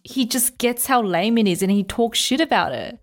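A woman co-hosting a casual podcast, playful and quick with comebacks. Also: a little high, animated, faint music behind. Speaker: podcast co-host. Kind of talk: casual chat.

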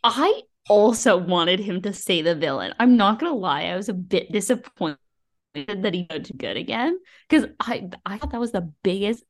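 A woman's voice: mid-range voice, animated, moderate pace. A woman co-hosting a book podcast, giving opinions in casual, exclamatory talk peppered with "like". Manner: informal, exclamatory, frequent "like".

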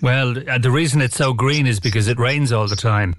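Irish male radio presenter, phone-in interview, medium pitch, very dry sound. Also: fairly quick, dry recording. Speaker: radio host.

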